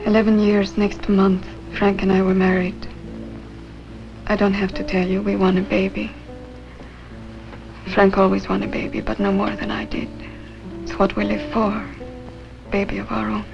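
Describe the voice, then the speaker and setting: A woman's voice: medium pitch, little pitch movement, normal speed, creaky, long pauses, natural voice. An actress playing an earnest, plaintive married woman in a 1950s television drama. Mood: weary, wistful. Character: weary, wistful married woman denying then confessing a secret. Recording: dull 1950s soundtrack, loud music behind.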